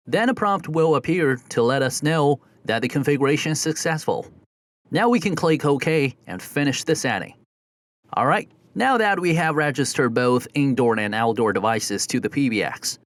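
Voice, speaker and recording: Male tutorial narrator, slightly high register, very animated intonation, methodical instructional read, dry close-miked voice-over. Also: no room sound. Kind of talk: scripted step-by-step software tutorial narration.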